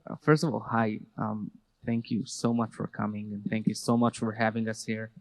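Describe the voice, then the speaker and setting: Low-voiced Israeli man in a reverberant hall. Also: completely flat delivery, moderate pace, vocal fry. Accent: Israeli Hebrew. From Jerusalem, Israel. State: a bit nervous. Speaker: speaker at a public talk, activist educator. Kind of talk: personal testimony to an audience.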